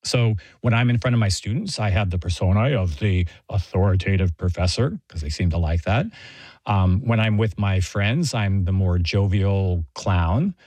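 A man's voice: low-pitched, normal speed, darkish tone, no echo. A middle-aged American man speaking as an interview guest, explaining ideas conversationally in a thoughtful, professorial way. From Texas, United States. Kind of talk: conversational explanation and personal anecdote.

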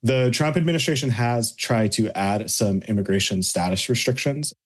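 Measured man, a policy analyst. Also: medium pitch. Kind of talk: interview clip.

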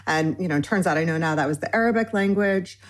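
A woman in her forties from Michigan speaks as a guest in a conversational interview. Her voice sits a little low, and she strings her thoughts together eagerly in long, winding lists, filling the gaps with "um" and "you know.". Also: fast. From Michigan, United States. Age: 40s. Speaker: cookbook author guest.

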